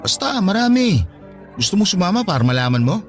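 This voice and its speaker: Lively, good-natured young man. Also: high-pitched, fast. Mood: gently persuasive. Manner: good-natured, animated, gently persuasive. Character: easygoing devout young man, patient, gently teasing friend. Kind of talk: conversational radio drama acting. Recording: studio dialogue over faint music.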